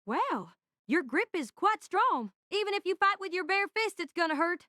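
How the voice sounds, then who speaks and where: A woman's voice: very high-pitched, sing-song, moderate pace, pushed and bright, no echo, studio quality, exaggerated voice. A woman playing an eager, friendly young male engineering student, chatty and earnest, in an audio drama. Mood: enthusiastic, friendly.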